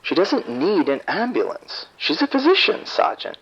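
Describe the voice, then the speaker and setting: A man acting out a proud, irritable husband and father, curt and defensive on the phone. His voice is husky and swings widely in pitch. The line is dull and muffled, like an old phone call. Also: huge pitch swings, normal speed, a bit bright, full character voice. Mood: irritated. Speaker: caller. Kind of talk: audio drama acting.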